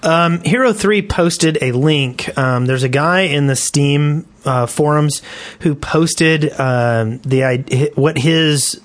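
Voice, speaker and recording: A man's voice: on the high side, slow pace. A man co-hosting a gaming podcast, explaining things casually in a slightly hesitant way. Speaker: podcast co-host.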